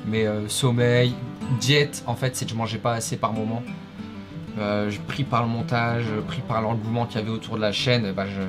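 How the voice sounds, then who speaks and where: A young man hosting a fitness video, chatting casually with lots of fillers in a small home studio. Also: mid-range voice. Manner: energetic, rambling, many fillers. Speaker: fitness YouTuber host. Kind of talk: casual commentary over workout footage. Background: guitar music.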